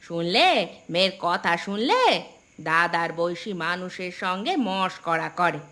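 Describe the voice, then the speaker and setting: A woman acts as a spiteful old village grandmother in a cartoon tale. She scolds in a low, slow voice with a hard bright edge, over music. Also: expressive, full character voice. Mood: bitter. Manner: scolding, complaining. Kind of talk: Bengali animated story dialogue.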